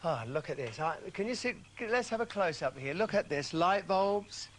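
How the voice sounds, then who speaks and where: A man with a fairly high voice hosts a TV chat show, camp and teasing, gushing over his guest. He often trips over his words and restarts his questions. Faint ambience hums behind him. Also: normal speed, a little hiss or hum. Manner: flamboyant, teasing and excitable, with frequent restarts.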